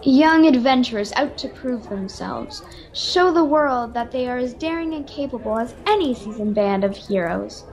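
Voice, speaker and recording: A mysterious adviser in a radio fantasy serial, acted by a woman, speaks in a high voice. She is courteous and measured, choosing formal words as a knowing patron would. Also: lively intonation, a little mellow, low-quality recording. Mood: confident, inviting. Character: mysterious cloaked woman, well-spoken adviser and quest-giving patron. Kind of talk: radio-serial adventure acting. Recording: home video-call recording.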